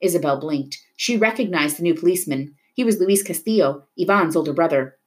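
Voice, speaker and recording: A woman reading a novel aloud in an expressive storytelling style, dramatic at tense moments. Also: fairly low pitch, fairly quick. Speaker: reader of a children's novel. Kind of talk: expressive read-aloud narration of a book.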